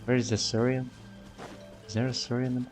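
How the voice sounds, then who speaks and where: A man's voice: medium pitch, little pitch movement, normal speed, darkish tone. A man giving casual gameplay commentary, reading bits aloud and muttering puzzled asides to himself, often repeating phrases. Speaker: game streamer. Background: game music.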